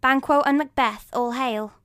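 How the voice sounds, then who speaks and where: A woman's voice: high-pitched, steady tone, measured pace, a bit bright, no room sound, clean studio sound. A woman playing a vengeful witch in a dramatic Shakespeare reading, chanting rhymed curses and prophecies. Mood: hailing.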